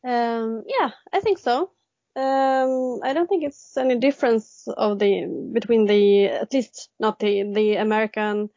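A woman's voice: mid-range voice, a little mellow, no echo. Hesitant Swedish woman in her thirties. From Sweden. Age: 30s. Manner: hesitant, many ums and restarts. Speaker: guest illustrator. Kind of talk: casual interview answers. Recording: dry, dull lo-fi sound.

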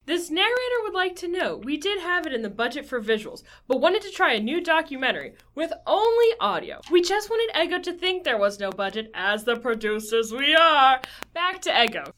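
A woman's voice: sits high, sing-song, brisk, a bit bright, a little hiss or hum. A woman playing an officious narrator of a mock documentary, scripted, wry and mock-formal. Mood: wry, correcting.

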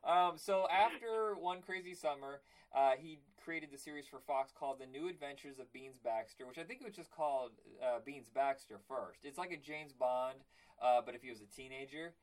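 A man with a raspy voice hosting a casual cartoon podcast, telling stories and acting out quotes. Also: medium pitch, moderate pace, low-quality recording. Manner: animated storytelling, acts out quotes. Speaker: podcast host. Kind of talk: casual chat.

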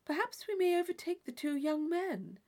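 A woman's voice: very high voice, moderate pace, mellow, voice pushed up. A woman voicing an innocent, earnest young girl in an audiobook of a classic novel, artless and polite. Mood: meek, hopeful.

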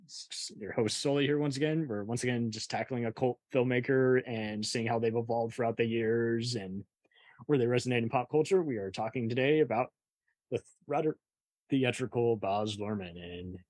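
A man's voice: mid-range voice, normal speed, darkish tone, no room sound. Man hosting, close dry sound. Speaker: podcast host. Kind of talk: casual film discussion.

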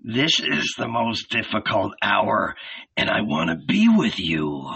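A man voicing a calm young spiritual teacher who speaks softly and tenderly. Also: fairly low pitch, slow, vocal fry, husky, heavily acted. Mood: gentle. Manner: soft, quiet, tender. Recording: dull lo-fi audiobook recording.

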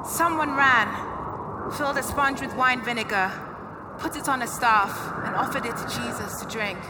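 A woman's voice: on the high side, moderate pace, very noisy. A woman giving a dramatic scripted narration of a Bible passage. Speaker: scripture reader. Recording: loud music under the voice.

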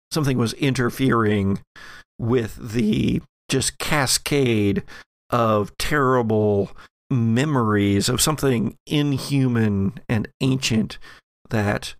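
A man acting as game master, narrating an improvised horror tabletop game in a halting, suspense-building way. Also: mid-range voice, creaky, dry recording, clean studio sound. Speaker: game master of an actual-play podcast.

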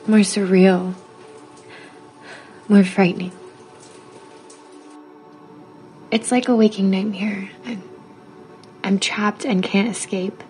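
An actress playing a frightened woman haunted by nightmares, confiding haltingly in horror film dialogue. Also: mid-range voice, warm tone, natural voice, low-quality recording. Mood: haunted, uneasy. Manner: halting, repeats words.

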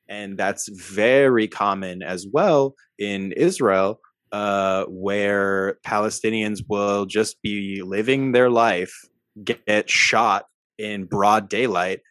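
A young adult man co-hosting a podcast, talking in an animated, emphatic way and often tagging points with "right?". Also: lowish voice, no echo. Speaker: podcast co-host. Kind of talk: casual political discussion.

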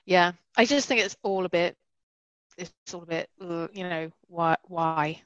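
A woman's voice: lowish voice, a little slow, fairly bright tone, no room sound. A woman co-hosting a podcast, chatting casually with hesitant fillers and self-mocking jokes. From Britain. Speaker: podcast co-host. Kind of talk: casual chat.

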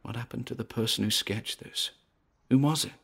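A man playing an uneasy paranormal investigator in an audio drama, muttering hesitant observations onto tape as he explores, with a gravelly voice. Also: mid-range voice, moderate pace, a bit bright. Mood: unsettled, baffled. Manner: hesitant, muttering observations with sighs and trailing asides. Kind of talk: audio drama found-recording monologue.

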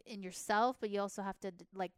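Soft-spoken young woman, very clear voice, dry close sound, modest, hesitant, casual podcast guest. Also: mid-range voice, steady tone, quick pace, dry recording. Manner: modest, hesitant, many fillers. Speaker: guest racing driver.

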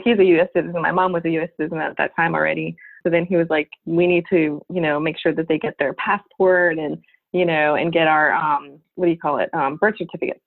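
A woman in her forties from New York, a podcast guest chatting casually with lots of fillers and pauses. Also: lowish voice. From Long Island, New York. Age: 40s. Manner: rambling, frequent fillers and laughs. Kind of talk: casual interview chat. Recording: phone-like narrow sound.

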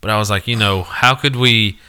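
A laid-back man teases his co-hosts in casual podcast banter. His voice sits low, with a smooth, clear sound and a bright edge. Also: fairly even intonation, fairly quick. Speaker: podcast co-host.

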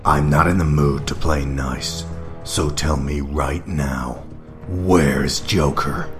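A man's voice: steady tone, slow pace, over music. A man playing a grim, menacing masked vigilante in an audio drama, terse and threatening. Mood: cold menace. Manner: terse, threatening, low growl.

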